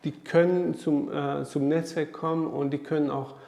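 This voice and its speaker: Man, gravelly and mellow, hesitant interview talk, roomy echo. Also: a little high, level tone, moderate pace. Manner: hesitant, repeats words while explaining. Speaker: association staff member. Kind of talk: interview statement.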